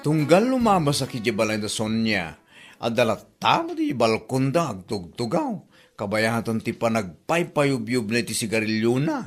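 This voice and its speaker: Man acting resentful laundry worker, Ilocano accent, gossipy, exclamatory, radio comedy drama, mid-pitched. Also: big pitch swings, moderate pace. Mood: gossipy, scornful.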